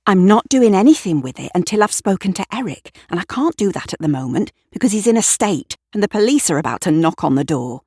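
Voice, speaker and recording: A woman voicing a brisk, bossy but caring female neighbour in an audio drama, insistent and reassuring. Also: medium pitch, very animated, a little mellow, breathy edge, no room sound, studio quality. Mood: flustered, bossy.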